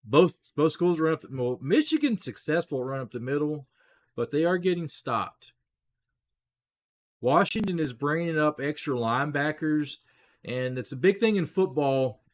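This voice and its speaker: A man calling a football game live for his viewers, his voice sitting a little high for a man and worn rough. He chats casually, clears his throat now and then, and lifts his voice excitedly when a big play happens. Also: normal speed. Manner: chatty, talks straight to viewers, rises excitedly on big plays. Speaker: livestream play-by-play host.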